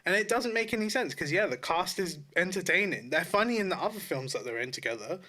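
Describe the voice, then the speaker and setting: A man's voice: sits high, animated, fast talker, pushed and bright. A man co-hosting a podcast in casual chat, blunt and swearing freely. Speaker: podcast co-host. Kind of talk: casual chat about films and shows.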